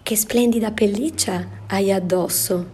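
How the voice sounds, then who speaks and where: A woman voicing a bold little girl in a storybook reading, with a high, girlish voice. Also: a little slow, a little mellow, husky. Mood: sweet. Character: bold little girl, sweet then cunning.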